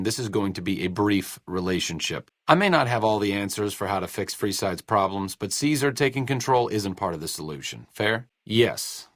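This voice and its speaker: A man's voice: fairly low pitch, no room sound. A man playing a dry, sardonic but idealistic medical researcher in a video game, speaking in a wry, articulate way. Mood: earnest, cautioning. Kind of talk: video game dialogue acting.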